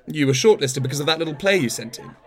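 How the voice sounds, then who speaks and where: An actor playing a self-absorbed older playwright in a radio play, garrulous, wry and opinionated, in naturalistic dialogue. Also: male voice, a little high, animated, fast talker, close to an everyday voice. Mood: opinionated, patronising. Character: self-absorbed older male playwright, rambling, grumbling, patronising.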